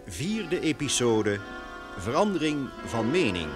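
Male radio announcer, lively intonation, vintage serial titles over theme music. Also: mid-range voice, takes it slowly. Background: orchestral theme music.

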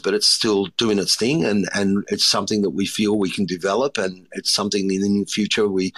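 A middle-aged man from Australia, a restaurateur answering interview questions thoughtfully, with many pauses and restarts. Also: fairly low pitch, a bit bright. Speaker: restaurateur and chef, guest.

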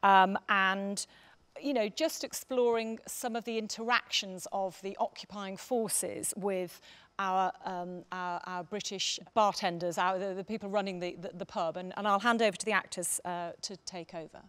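A middle-aged woman chairs a discussion on stage. She has a mid-range voice and links points in a tentative, halting way. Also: medium pitch, fairly even intonation, a bit bright. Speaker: panel chair. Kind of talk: moderating a panel discussion. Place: theatre stage, seated panel.

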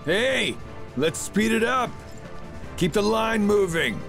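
A mocking older villain from a video game. He drawls slowly with a gravelly edge and swoops with sardonic relish, over background music. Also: sits high, lively intonation, heavily acted. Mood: goading. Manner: sardonic, taunting. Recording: music under the dialogue.

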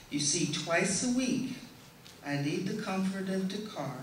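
Woman, deliberate public speaker, low-pitched for a female voice, prepared testimonial, heavily reverberant room, faint noise. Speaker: speaker giving a presentation. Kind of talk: prepared testimonial speech read to an audience. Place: indoor stage in a hall, before an audience. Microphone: stand microphone, heard from a distant camera. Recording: echoey hall, distant camera, faint noise.

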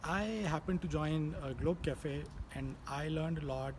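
A man's voice: fairly high pitch, fairly even intonation, a little slow. A man from Pakistan, a master's student talking easygoingly about his experience outdoors. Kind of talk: casual testimonial. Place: outdoors by a residential building. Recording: outdoor street noise with passing traffic.

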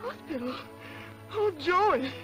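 A woman's voice: very high-pitched, animated, slow pace, heavily acted, rough recording. An actress playing an overwrought, loving soldier's mother in a 1940s film melodrama, tearful and overcome. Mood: tearful joy. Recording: old film soundtrack under loud music.

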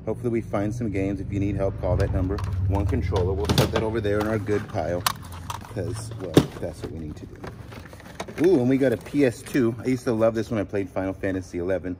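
A man's voice: lowish voice, quick pace. A man in his forties chatting casually as a vlog host, informal and slangy, commenting as he sorts through things. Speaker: host of a storage auction vlog. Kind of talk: casual vlog chat and commentary while sorting finds. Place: outdoors at a storage unit lot, partly in a vehicle. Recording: noisy outdoor audio with vehicle sounds.

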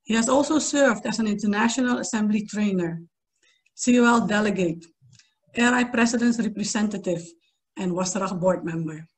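A woman's voice: mid-range voice, normal speed, poor audio. A woman reading a speaker's introduction aloud on a video call from a small room. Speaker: meeting introducer.

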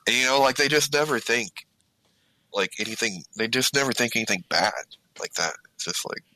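A man's voice: mid-range voice, lively intonation, fairly quick, sharp tone, poor audio. A man co-hosting a podcast, chatting casually in a laid-back way with short agreeing replies. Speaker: podcast co-host. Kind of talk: casual gaming news chat.

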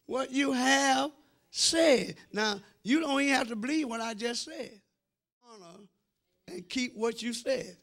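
High-pitched American preacher, gravelly and forceful. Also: male voice. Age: middle-aged. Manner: emphatic, drawn-out phrasing, addresses congregation directly. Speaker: senior pastor preaching. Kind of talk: sermon. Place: church sanctuary before a congregation. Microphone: handheld microphone.

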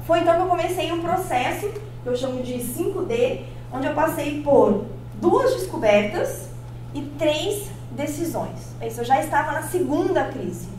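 High-voiced Brazilian woman speaker, echoey hall. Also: normal speed, distant mic, slight background noise. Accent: Brazilian Portuguese. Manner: expressive, addresses the audience with frequent tag questions. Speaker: motivational speaker. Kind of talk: personal-story keynote talk. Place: large auditorium on stage. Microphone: handheld mic, filmed by far camera.

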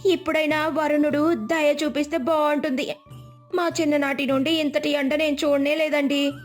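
A woman's voice: high voice, level tone, moderate pace, faint music behind, voice pushed up, natural voice. A woman voicing a worried elderly village wife lamenting in a moral story.

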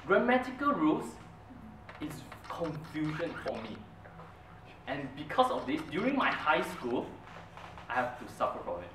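A man's voice: on the high side, a little slow, gravelly, pauses often. Young man telling a story in an echoey room. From Brunei. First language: Mandarin. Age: young adult. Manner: earnest storytelling, with an animated, emphatic delivery. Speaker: club speaker. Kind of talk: prepared speech. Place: meeting room, medium size, indoors. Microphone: far camera. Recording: roomy, distant, noisy room recording.

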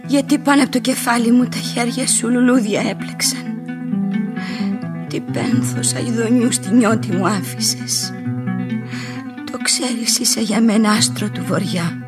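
A woman's voice: a little high, loud music behind the voice, natural voice. An actress playing a tender, anguished young woman in love, declaiming lyrical verse in a radio play. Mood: anguished, pleading. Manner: declamatory, poetic.

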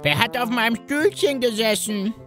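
A woman voicing a friendly little dwarf in a children's fairy-tale audio play, with an animated sing-song manner. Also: fairly high pitch, normal speed, faint music behind, natural voice. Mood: curious.

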